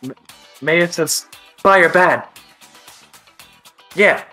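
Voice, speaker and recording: A man's voice: a little high, very slow, pushed and bright, soft background music, pitched above the performer's own voice, heavily acted. A man voicing a little kobold guard in a tabletop game, who speaks in clipped, broken sentences. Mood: conflicted. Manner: clipped broken-grammar speech. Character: little red male kobold guard, gruff, eager, furtive.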